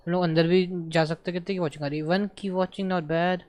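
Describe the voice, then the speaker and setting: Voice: medium pitch, steady tone, racing, darkish tone, a little hiss or hum. A game streamer with an Indian accent giving casual live commentary, muttering questions to themself and mixing Hindi with English.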